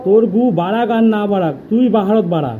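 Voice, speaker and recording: Through thin, phone-like sound comes a man acting a high-pitched, cranky village father from a comedy cartoon. He nags and scolds in a rural northern Bengali accent, stingy and coarse. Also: lively intonation, fast, a little hiss or hum. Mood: angry. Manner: nagging, scolding, coarse insults. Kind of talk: comic cartoon dialogue.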